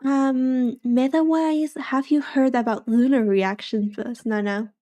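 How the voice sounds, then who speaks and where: A soft-spoken woman streaming a game, chatting casually and rambling to her viewers. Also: high-pitched, steady tone, takes it slowly. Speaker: VTuber streamer. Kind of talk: casual chat while gaming.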